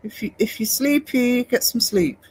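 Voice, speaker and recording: Livestream host, man, high-pitched, rambling and chatty with his viewers. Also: normal speed, a little mellow, husky. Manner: chatty, rambling, many fillers and restarts. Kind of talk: casual chat reading live comments.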